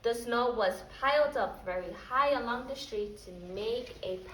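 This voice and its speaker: A woman's voice: a little high, normal speed, a bit bright. A woman teaching young children as a preschool teacher, prompting them with simple, repeated questions and phrases. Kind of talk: teaching young children, question-led lesson and picture book read-aloud. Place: indoor classroom. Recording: roomy, distant mic, faint background noise.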